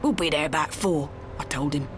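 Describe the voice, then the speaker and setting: A woman voicing an over-familiar male kidnapper, soothing and matey with quiet menace, in an audiobook story. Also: deep voice, brisk, music in the background, close to an everyday voice. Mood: familiar, loving.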